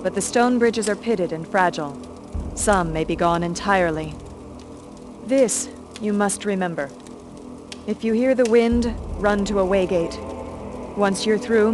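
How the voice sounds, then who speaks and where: Actress for grave female mentor of a magical order, formal and measured, mid-range voice, fantasy game, light music underneath. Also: medium pitch, lightly acted. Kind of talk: dramatic fantasy game acting.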